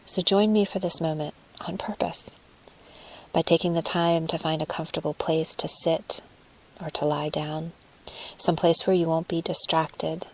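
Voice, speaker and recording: A woman with a low voice guides a meditation. Her delivery is soft, breathy and soothing, with very little melodic movement. She places each phrase deliberately and leaves room between them. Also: fairly even intonation, rough recording.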